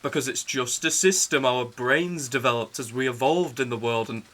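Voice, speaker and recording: A man's voice: mid-range voice, normal speed, a bit bright, lightly acted. Exasperated young man acting. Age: young adult. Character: exasperated, argumentative partner reluctant to socialise. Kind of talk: audio drama acting.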